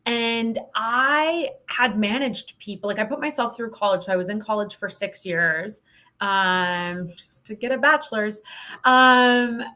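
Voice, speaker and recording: A woman's voice: fairly high pitch, unhurried, sharp tone. A woman speaking as a podcast guest, telling her own story in a frank, reflective, conversational way and often saying "like". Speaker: podcast guest, sales coach.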